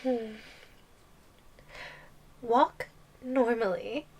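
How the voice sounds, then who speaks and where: Possessive yandere giantess for ASMR roleplay, female performer: higher register, very slow delivery, playful, sweetly threatening. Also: darkish tone, heavily acted. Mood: smug, teasing. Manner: slow, playful teasing with frequent giggles. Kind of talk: ASMR roleplay audio drama.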